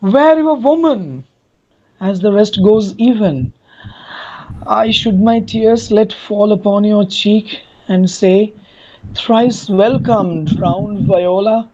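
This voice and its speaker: A performer playing a tender, wondering young gentleman, a long-lost twin brother, in a dramatic Shakespeare reading. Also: mid-range voice, takes it slowly. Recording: dull lo-fi audio.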